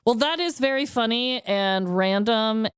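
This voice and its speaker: A woman's voice: a little high, unhurried, clear, resonant tone, dry recording, studio quality. A woman co-hosting a casual podcast chat, reacting with frequent laughter and casual swearing. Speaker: podcast co-host.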